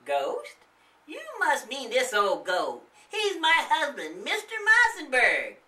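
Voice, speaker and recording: A woman's voice: high-pitched, huge pitch swings, slow pace, bright, forceful tone, pitched above the performer's own voice, heavily acted. A woman voicing an old wife in a children's story, scolding and motherly by turns, recorded roomily at a distance. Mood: kindly welcoming. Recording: roomy, distant microphone.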